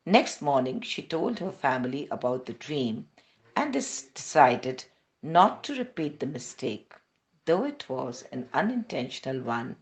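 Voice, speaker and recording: A woman from India with an Indian accent reads a scripted devotional narrative aloud in measured, deliberate phrases. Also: sits low. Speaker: reader of devotional testimonies.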